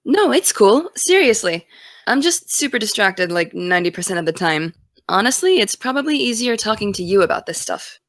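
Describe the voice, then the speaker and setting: A woman's voice: medium pitch, big pitch swings, normal speed, a bit bright, no echo, clean studio sound. A woman playing a driven, distracted college-bound young woman in an audio drama, speaking casually and wryly. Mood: casual, guarded. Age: young adult. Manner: casual, wry. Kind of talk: naturalistic audio drama dialogue.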